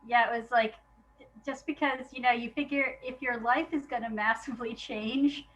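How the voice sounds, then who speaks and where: A woman's voice: a little high, steady tone, normal speed, a bit bright, poor audio. A woman speaking as an interview guest in a casual, reflective way, candid and hesitant, often restarting her thoughts. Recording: noticeable background noise.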